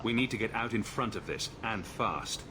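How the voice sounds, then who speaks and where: An actor playing a blunt, wry adventurer hero in a video game, with a dry, terse delivery. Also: male voice, on the low side, expressive, normal speed, reverberant, crowd noise, natural voice. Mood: wry, urgent.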